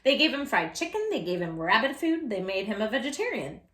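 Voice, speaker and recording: Bright, high-voiced woman hosting children's storytime. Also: fairly quick. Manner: animated, emphatic, addressing children directly. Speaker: children's storytime host and tutor. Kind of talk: read-aloud storytime for young children.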